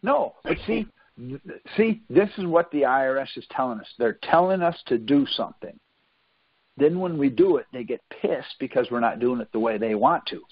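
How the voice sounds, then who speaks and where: A man's voice: mid-range voice, slightly rough, no room sound. A man teaching informally on a group call, speaking in a folksy, insistent way and often checking that listeners follow. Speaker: teacher leading a conference call. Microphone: phone conference line. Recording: dull, lo-fi conference call audio.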